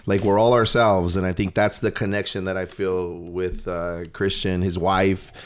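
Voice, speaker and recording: A man hosting a podcast, chatting with his guest in an easygoing way. His voice is on the low side for a man. He stumbles and repeats words as he works toward his questions. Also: flat delivery, moderate pace, clear, resonant tone. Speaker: podcast host. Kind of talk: casual interview.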